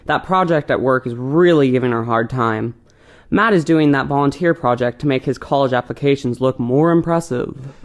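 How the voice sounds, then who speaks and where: A man's voice: medium pitch, normal speed, lightly acted. A man acting as an easygoing, cocky teenage grandson in an audio play, with a casual, flippant delivery.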